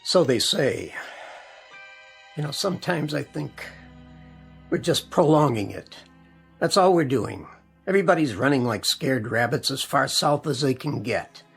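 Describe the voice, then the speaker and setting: A man's voice: mid-range voice, expressive, measured pace, vocal fry, long pauses, breathy edge, faint music behind, full character voice. An actor playing a weary, resigned man getting ready to flee a freezing city in a radio drama.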